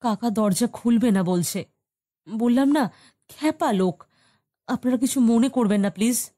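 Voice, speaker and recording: A woman's voice: a little high, unhurried, dark tone. A woman playing an apologetic young niece in an audio drama. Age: young adult. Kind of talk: audio story acting.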